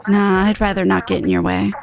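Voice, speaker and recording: A woman's voice: medium pitch, very animated, a little fast, gravelly, noisy background, voice pushed down. A woman voicing a cool-headed, pragmatic female teammate in a level, matter-of-fact way. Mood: calm.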